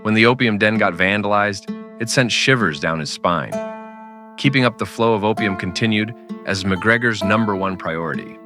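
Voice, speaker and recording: A man narrating a scripted western in old-time radio style, with dramatic, deliberate storytelling. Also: lowish voice, over music.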